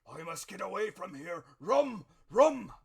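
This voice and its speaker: A man voicing a hard-drinking, violent old buccaneer captain in a storybook reading, gruff and defiant, with bellowed blunt exclamations. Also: high-pitched, very animated, measured pace, pitched above the performer's own voice, full character voice. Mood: desperate.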